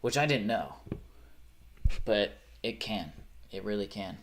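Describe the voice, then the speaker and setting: A man's voice: on the low side, lively intonation, slow, slight background noise. A young man with a faint rural Pennsylvania accent, chatting casually on a live stream, often trailing off and restarting his sentences. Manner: rambling, self-correcting, trails off.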